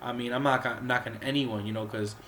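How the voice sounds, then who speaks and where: A man who is under the weather talks through a video game in a loose, rambling way, coughing and clearing his throat between phrases. His voice has an average pitch and sounds a little off from his cold. A faint hiss of noise sits under the recording. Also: steady tone, brisk, a bit bright. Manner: rambling, with many fillers and restarts. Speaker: gaming channel host. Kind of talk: casual gameplay walkthrough commentary.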